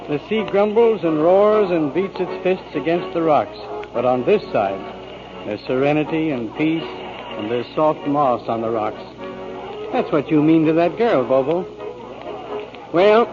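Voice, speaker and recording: An actor playing a genial, philosophical waterfront friend with an eloquent, mannered delivery in a 1940s radio drama. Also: male voice, a little high. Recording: muffled 1940s radio recording.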